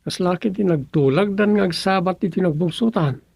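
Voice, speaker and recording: An actor playing a sly, gossipy village neighbour in an Ilocano radio comedy drama, with a raspy, gravelly voice. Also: mid-range voice, moderate pace, a little mellow, no echo.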